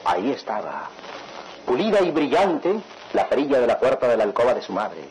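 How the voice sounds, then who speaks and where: A man's voice: mid-range voice, sing-song, normal speed, slight background noise, close to an everyday voice, low-quality recording. A man narrating a radionovela in a solemn, theatrical, melodramatic style. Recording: radio drama under loud music.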